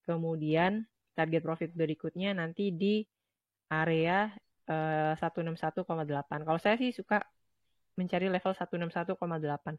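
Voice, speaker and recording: A woman's voice: deep voice, steady tone, normal speed, dry recording. A woman with a stuffy, nasal voice from a cold, explaining charts informally as a trading instructor. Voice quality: nasal, congested. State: has a cold. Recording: dull lo-fi close dry audio.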